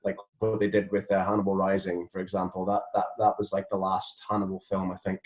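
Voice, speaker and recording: A man's voice: low-pitched, little pitch movement, a little fast, darkish tone. A Scottish man chatting casually as an interview guest, rambling appreciatively with lots of "you know", in a roomy, echoing recording. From Scotland. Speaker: interview guest, musician and voice actor.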